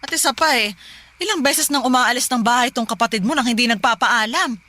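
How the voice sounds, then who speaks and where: A woman's voice: fairly high pitch, a little fast, pushed and bright, dry recording. A woman acting as a nagging, strict middle-aged mother in a radio drama, short-tempered and scolding, with faint noise in the recording. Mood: stern scolding. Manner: scolding, naggy.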